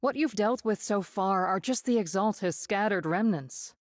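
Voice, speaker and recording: A woman playing a stern, composed colonel in a video game, speaking formally and with measured authority. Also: medium pitch, dry recording, clean studio sound, natural voice. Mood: calm, formal. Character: stern, composed female colonel commanding soldiers. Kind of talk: video game voice acting, military briefing.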